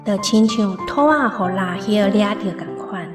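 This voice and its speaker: A woman's voice: mid-range voice, normal speed, natural voice. An actress with a Taiwanese accent playing a knowing island mother in a radio drama. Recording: echoey distant voice under music.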